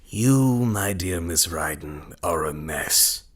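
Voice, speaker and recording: Cruel, gloating husband with swooping, drawn-out delivery. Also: male voice, deep voice, animated, breathy edge, full character voice. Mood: scornful, amused. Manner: theatrical, mocking, drawn-out delivery. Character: cruel, gloating, scheming husband in a family melodrama. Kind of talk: audio drama acting, gothic melodrama.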